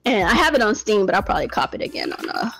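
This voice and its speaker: A woman's voice: medium pitch, very animated, very fast, fairly bright tone, soft background music. A woman hosting a casual game talk show, blunt and swearing freely. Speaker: podcast host. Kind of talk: casual chat about games.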